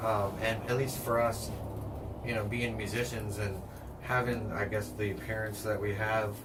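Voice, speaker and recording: Laid-back low-voiced band guy. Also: flat delivery, normal speed, very noisy. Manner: rambling, easygoing, many fillers. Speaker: band musician. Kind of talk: casual interview chat. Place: back room of a bar. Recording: distant mic, loud music behind.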